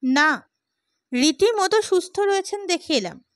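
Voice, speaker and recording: A woman voices a cautious, educated lady in an audiobook novel. Her voice is high, courteous and restrained, with a dry close sound. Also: sing-song, measured pace, fairly bright tone, no echo, full character voice. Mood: curious. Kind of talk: dramatised audiobook character.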